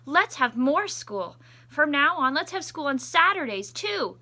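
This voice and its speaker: A woman voicing a proud, over-enthusiastic male school principal in a storybook, with grand, drawn-out emphasis. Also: high-pitched, big pitch swings, pushed and bright, pitched above the performer's own voice, exaggerated voice. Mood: proudly announcing.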